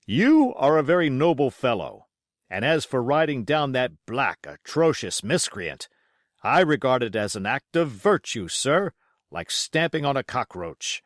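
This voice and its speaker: A man's voice: mid-range voice, big pitch swings, a little slow, no echo, studio quality, pitched above the performer's own voice. A man voicing a bluff, hot-headed country squire in an audiobook, exclamatory, grand and condescending. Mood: stately, hearty.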